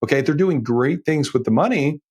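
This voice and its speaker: Animated, slightly husky man giving advice. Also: on the high side, fairly quick. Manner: informal, direct address with frequent checking tags like "okay?" and "right?". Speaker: financial advisor and podcast host. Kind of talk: conversational advice podcast monologue.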